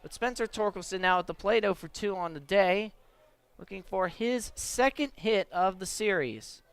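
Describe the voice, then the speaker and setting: High-voiced American man calling baseball. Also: moderate pace, gravelly, clean tone, no room sound. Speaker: baseball play-by-play announcer. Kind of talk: live radio play-by-play commentary. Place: at a baseball stadium.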